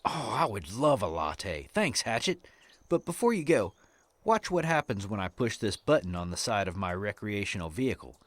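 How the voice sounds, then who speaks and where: A man's voice: on the low side, big pitch swings, moderate pace, no room sound. A man playing a cheerful, naive, oblivious RV driver in a comedy audio drama. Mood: cheerful, proud. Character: cheerful, oblivious, latte-loving man driving an RV.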